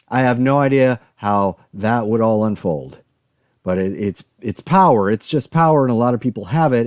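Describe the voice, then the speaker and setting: Casting: man to play anxious zombie-apocalypse survivor, lowish voice, dry close sound, wry and rambling, halting conversational monologue for audio drama. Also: darkish tone, dry recording, natural voice.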